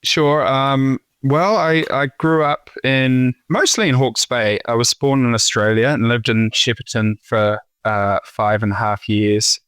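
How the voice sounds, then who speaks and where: A New Zealand man in his forties, a winemaker talking casually in an interview, halting with many fillers and restarts. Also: mid-range voice, steady tone, a bit bright, smooth, dry recording, studio quality. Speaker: winemaker guest.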